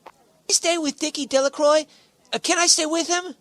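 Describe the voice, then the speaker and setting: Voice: sits high, fairly even intonation, fairly quick, fairly bright tone. A performer playing an eager, impatient little farm boy in a radio drama, whining and pleading.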